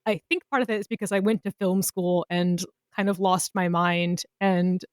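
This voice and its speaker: A woman's voice: fairly low pitch, steady tone, moderate pace, dry recording, clean studio sound. A woman co-hosting a podcast, chatting casually in a rambling, informal way. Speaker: podcast co-host. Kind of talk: casual chat.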